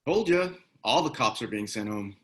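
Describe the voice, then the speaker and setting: A man's voice: on the low side, lively intonation, moderate pace, natural voice. A man playing a fellow cop in a screenplay table read, casual and needling yet concerned. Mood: knowing, concerned.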